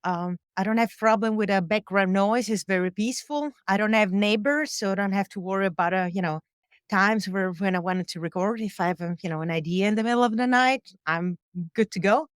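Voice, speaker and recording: A woman's voice: medium pitch, fairly quick, clean tone, no room sound, studio quality. A woman guest who is a musician and sound engineer, talking casually in an interview with many fillers and small laughs. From Europe. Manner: chatty, many fillers, laughs between thoughts. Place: home recording studio on a boat.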